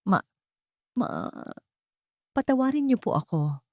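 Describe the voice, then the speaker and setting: A woman's voice: on the high side, sing-song, slow pace, no echo, voice pushed up, full character voice. Audio story role: devoted, remorseful middle-aged man voiced by a woman, deferential, dry sound.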